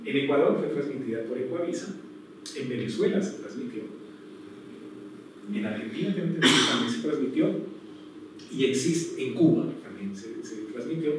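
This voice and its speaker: A man's voice: medium pitch, recorded from a distance, noisy background. Man lecturing in an echoey hall. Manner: thoughtful, full of fillers and restarts. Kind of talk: public lecture. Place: hall with strong echo.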